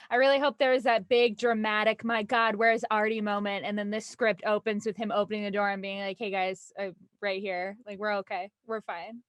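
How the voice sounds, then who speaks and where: A woman speaks in a fairly high voice with a dry, close sound. She is an actor guest on a panel, talking in an easy, casual conversational style. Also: no echo. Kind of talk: casual chat.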